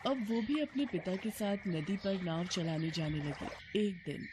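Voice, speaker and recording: A woman's voice: medium pitch, a little slow, background noise. A woman narrating a scripted devotional story as a storyteller. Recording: music bed behind voice.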